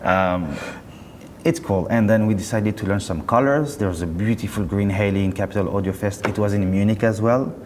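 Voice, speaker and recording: A man being interviewed as a company representative, chatting casually in a halting, self-correcting way. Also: on the low side, darkish tone. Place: indoor hi-fi show. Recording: roomy hall, clear background noise.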